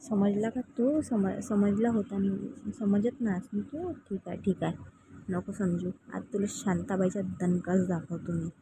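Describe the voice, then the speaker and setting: A woman's voice: medium pitch, fairly even intonation, measured pace, background noise, natural voice. A woman with a Varhadi Marathi accent voicing a bossy, stern village mother who scolds in an amateur cartoon comedy. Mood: threatening. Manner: scolding, repetitive commands.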